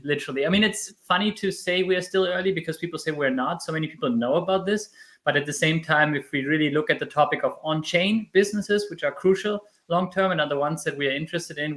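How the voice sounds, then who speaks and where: Man in his thirties, German accent, slightly high voice, casual interview talk, animated storyteller. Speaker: podcast guest, crypto YouTuber.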